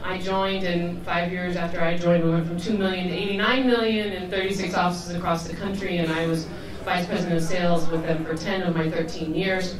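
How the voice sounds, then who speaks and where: A middle-aged woman opens a conference panel in a lower voice, upbeat and quick to laugh. She is picked up from a distance in an echoey room, with the audience audible behind her. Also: a crowd in the background. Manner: upbeat, energetic, laughs easily. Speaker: panel moderator. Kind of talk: panel introduction. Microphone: handheld mic, heard from afar.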